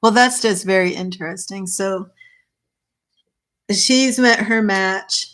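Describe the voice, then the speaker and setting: A woman giving a live tarot reading in a casual, chatty way, musing aloud over the cards. Her voice is wearing thin and slightly hoarse, and she pauses to clear her throat. She speaks at an ordinary middle pitch for a woman. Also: a bit bright. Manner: chatty, thinking aloud, with small laughs. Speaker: tarot reader.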